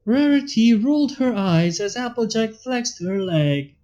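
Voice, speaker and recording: A woman's voice: on the high side, lively intonation, measured pace. A young adult woman reading a story aloud as a narrator. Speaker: fanfiction reader and channel host. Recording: slightly dull audio, soft background music.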